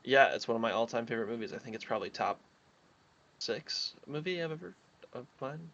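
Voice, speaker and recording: A man's voice: mid-range voice, lively intonation, fairly quick, creaky, fairly bright tone. A young adult man co-hosting a podcast, chatting easily and steering the talk into the news segment. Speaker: podcast co-host. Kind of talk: casual podcast chat. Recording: slightly dull, lo-fi mic.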